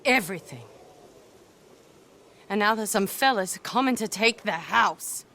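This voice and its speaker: A woman's voice: mid-range voice, lively intonation, measured pace, gravelly, bright, forceful tone, a little hiss or hum, full character voice. A woman acting a bitter, desperate American widowed mother in a game drama, accusing in manner. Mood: bitter grief. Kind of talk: dramatic game cutscene dialogue.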